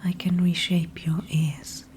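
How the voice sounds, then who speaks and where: An ancient, calm dryad, whispered by a woman in an ASMR roleplay, her voice low, hushed and unhurried. She speaks in a dark, mellow whisper with formal, old-fashioned phrasing. Faint ambience sits behind her. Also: a little hiss or hum. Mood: calm, knowing. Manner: soft unvoiced whisper throughout. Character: ancient female tree spirit, calm and wise.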